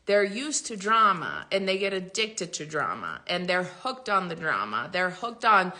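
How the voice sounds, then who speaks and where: A woman's voice: lowish voice, very animated, unhurried, pushed and bright. A woman spiritual coach talking casually and emphatically to her followers, swearing freely and laughing often. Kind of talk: casual talk to followers, livestream-style.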